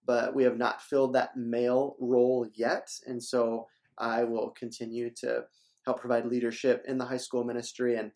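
A man's voice: medium pitch, flat delivery, normal speed, a little mellow. A young man from Minnesota, a church staff member hosting a casual interview, upbeat and promotional and often repeating words.

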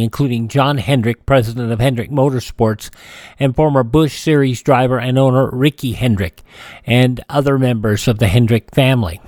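An American man hosting a radio show, reading a history rundown informally, with halting asides. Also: mid-range voice, a little mellow, no echo, clean studio sound. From Kansas, United States.

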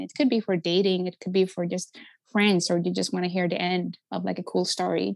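A woman's voice: on the low side, gravelly, darkish tone, dry recording, poor audio. A woman from Sweden with a Swedish accent, chatting earnestly as an interview guest and filling her speech with "like". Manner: earnest and chatty, many filler likes. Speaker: guest, app founder. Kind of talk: conversational interview.

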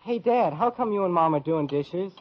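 A young actor playing a brash, sarcastic American teenage son in a 1950s radio sitcom, on a dull old recording. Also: male voice, high voice, expressive, normal speed, a little hiss or hum. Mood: scornful. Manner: sarcastic, emphatic.

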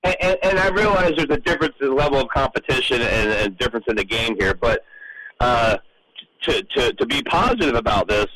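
A man in a casual sports radio discussion, talking haltingly and often repeating words as he works toward his point. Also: medium pitch, big pitch swings, pushed and bright, rough recording. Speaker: sports radio show panelist.

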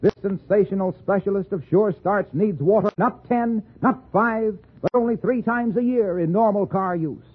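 A man's voice: high voice, normal speed, hardly any pauses, husky. A male announcer delivering a scripted 1950s radio commercial in the style of a carnival barker. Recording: tinny 1950 radio recording.

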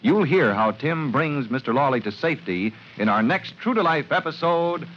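A man's voice: a little high, expressive, normal speed. A man narrating a scripted radio adventure in a dramatic, formal 1940s announcer style. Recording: muffled, faintly noisy 1940s radio recording.

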